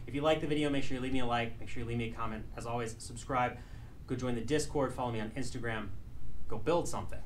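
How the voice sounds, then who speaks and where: A man's voice: mid-range voice, fast talker, a little hiss or hum. A young man, an aircraft mechanic, talking casually to camera in an indoor workshop, rambling and correcting himself as he goes. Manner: rambling, with restarts and self-corrections. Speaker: aircraft mechanic and channel host. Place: indoor workshop classroom with benches and tool cabinets.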